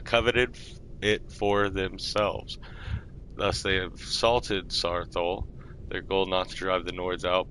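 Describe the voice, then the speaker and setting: A man streaming a game roleplay, reading lore aloud in a measured, formal way. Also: sits low, bright, forceful tone, a little hiss or hum, poor audio.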